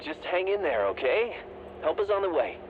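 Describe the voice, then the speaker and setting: An actor playing a firm, gritty military dispatcher in a game audio log, talking bluntly over the radio. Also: male voice, high voice, big pitch swings, moderate pace. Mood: urgent, worried. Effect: radio transmission. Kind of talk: dramatic acting in a video game audio log. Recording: muffled, noisy radio-filtered sound.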